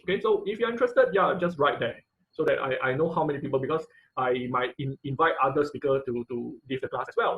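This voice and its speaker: A man's voice: medium pitch, a bit bright. A man giving an informal live online technical class as instructor, often repeating words and rephrasing mid-sentence. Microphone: video call microphone. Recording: dull, lo-fi video call audio.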